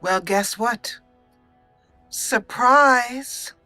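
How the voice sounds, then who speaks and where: Female gameplay host, bright mid-pitched voice, playful and theatrical roleplay, music underneath. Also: drawn-out words, dry recording. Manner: playful, reads her character's lines dramatically. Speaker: let's play host.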